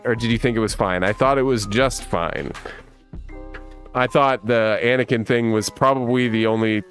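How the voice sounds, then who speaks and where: A man in his thirties hosts a relaxed livestream, his low voice carrying a slight rasp. He rambles and laughs his way through viewer comments, and soft music plays faintly behind him. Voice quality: rough smoker's voice. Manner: laid-back and rambling, laughs often. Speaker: livestream host. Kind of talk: casual livestream chat reading viewer comments.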